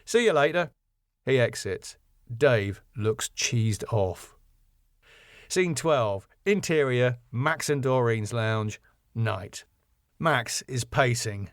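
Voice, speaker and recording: A man's voice: medium pitch, dry recording, clean studio sound. A man reading stage directions from a sitcom script as scripted narration.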